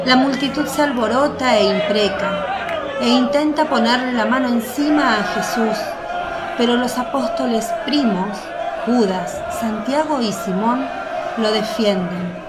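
A woman narrating a religious radio drama from a script, in a measured storytelling way. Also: fairly high pitch, over a noisy crowd, heavy background noise.

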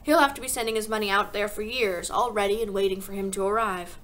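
Storybook schoolteacher, voiced by a woman reader: tender, composed and frank, measured delivery with a bright edge. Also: mid-range voice, fairly even intonation, close to an everyday voice. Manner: quiet, measured, gentle.

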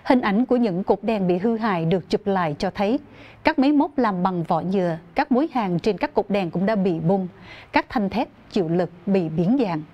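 A woman's voice: mid-range voice, lively intonation, normal speed. Woman news anchor, slightly dull audio. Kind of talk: formal scripted news reading. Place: TV news studio.

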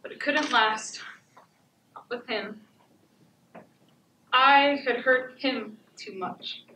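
Woman playing wounded resolute survivor in a stage monologue, highish forceful voice, echoey theatre, mic far off. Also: full character voice. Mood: somber, resolute. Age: young adult. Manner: deliberate, declamatory, short weighted phrases. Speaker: high school stage actor. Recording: echoey theatre, distant mic, faint noise.

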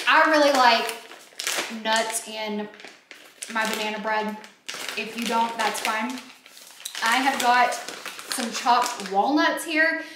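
Woman in her thirties, fairly high voice, chatty recipe host, roomy kitchen sound. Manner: chatty, informal, addressing viewers directly. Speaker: home baking vlog host. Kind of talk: casual recipe demonstration. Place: home kitchen, indoors. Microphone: camera a few feet away. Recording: echoey kitchen, background noise, dish clinks.

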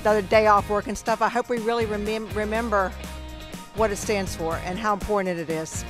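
A woman's voice: mid-range voice, steady tone, brisk. A middle-aged woman from the American South, speaking earnestly and correcting herself as she goes, into a handheld mic on a city street. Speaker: passerby. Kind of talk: street interview. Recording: music bed under voice.

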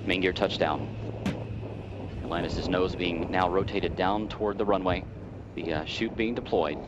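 A man's voice: low-pitched, steady tone, measured pace, heavy background noise. A man giving live commentary on a spacecraft landing. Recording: dull audio, loud vehicle ambience.

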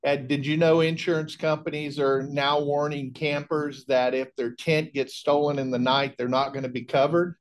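A middle-aged man giving a technical training presentation on a video call, explaining in a measured way. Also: on the high side, flat delivery. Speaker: technical trainer, remote monitoring specialist. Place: indoor room on a video call.

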